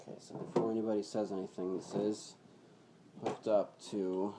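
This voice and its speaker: A man's voice: fairly low pitch, level tone, moderate pace, noisy background. A man giving a casual, unscripted, hands-on demonstration of gear, plain-spoken and matter-of-fact, in an amateur home video. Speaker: hobbyist presenter demonstrating radio gear.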